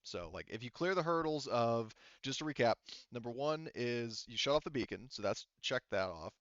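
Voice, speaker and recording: Guy running a tabletop game, smooth voice, keeps restarting as he explains stuff, real dry audio. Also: mid-range voice, lively intonation, no echo, muffled recording. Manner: halting, self-interrupting, wordy. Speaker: game master.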